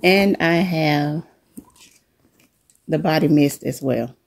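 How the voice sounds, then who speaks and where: Low, gravelly woman with a cold. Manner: relaxed, unhurried, addresses viewers as y'all. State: coming down with a cold. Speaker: vlogger. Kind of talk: casual show-and-tell chat. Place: living room, indoors.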